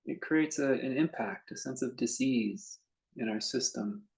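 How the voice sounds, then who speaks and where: A man leading a guided meditation as a teacher, speaking in a contemplative, unhurried way with long pauses between short phrases. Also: medium pitch, gravelly, darkish tone.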